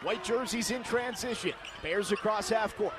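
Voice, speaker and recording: High-pitched male play-by-play sports announcer. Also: moderate pace. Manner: clipped, vivid play calling that often rises to shouts. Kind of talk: live sports commentary. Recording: noisy live game broadcast.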